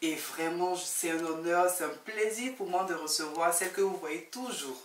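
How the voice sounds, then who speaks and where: A woman's voice: on the low side, moderate pace. A woman hosting a conversational interview, often repeating and rephrasing what she asks. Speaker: talk show host, interviewer. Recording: roomy, distant mic, faint noise.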